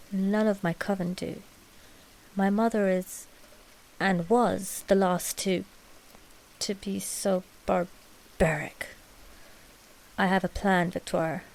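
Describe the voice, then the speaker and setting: A woman playing a doll-like vampire royal, sweet and scheming, speaking formally and deliberately in a scripted ASMR roleplay. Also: medium pitch, creaky, darkish tone, a little hiss or hum, poor audio.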